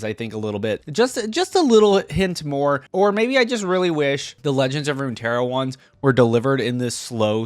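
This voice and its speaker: A man's voice: mid-range voice, no echo. Male YouTube reaction host, mid-range voice, casual rambling fan commentary, very dry sound. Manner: informal, rambling, thinking aloud, peppered with "like".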